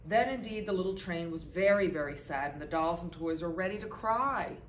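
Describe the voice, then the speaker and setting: A woman's voice: lowish voice, lively intonation, normal speed. A woman reading a picture book aloud expressively for children as a volunteer storyteller. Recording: echoey room with background noise.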